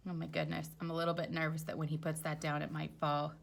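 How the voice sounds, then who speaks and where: A woman's voice: low voice, monotone, fast talker, creaky. A woman teacher reading a children's storybook aloud expressively, with chatty explanatory asides for young listeners.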